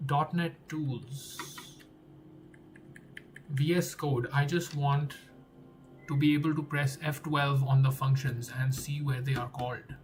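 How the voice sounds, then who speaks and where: A young man who is not a native English speaker, talking to himself while he works through game code, repeating words and trailing off. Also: on the high side. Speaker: game developer. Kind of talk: think-aloud coding vlog.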